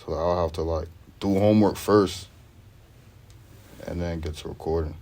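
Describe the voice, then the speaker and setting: A man's voice: low-pitched, steady tone, normal speed, creaky, dark tone. A young man from Sweden, a rapper, chatting in a laid-back, slangy way as the interview guest. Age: young adult. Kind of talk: casual interview chat.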